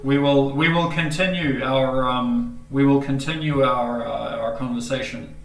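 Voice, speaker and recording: A man's voice: medium pitch, fairly even intonation, a little slow, vocal fry, roomy sound, recorded from a distance, rough recording. A man with a New Zealand accent chatting casually and rambling on a video call, with lots of fillers. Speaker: host.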